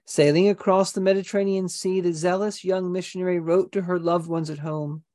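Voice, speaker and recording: A woman narrating a documentary script in a slow, solemn reading voice. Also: lowish voice, fairly even intonation, dark tone.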